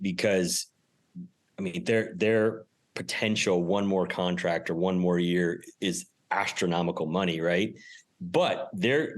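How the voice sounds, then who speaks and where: Low voiced guy on a podcast, emphatic and informal, chatting as the guest. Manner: emphatic, informal, mild swearing. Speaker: podcast guest, real estate investor and business owner. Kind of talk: casual interview talk.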